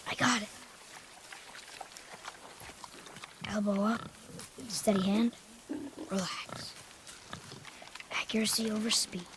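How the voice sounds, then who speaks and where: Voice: medium pitch, steady tone, a little slow, background noise, natural voice. A young performer playing an earnest, anxious boy, the son of a warrior, in a video game. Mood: focused.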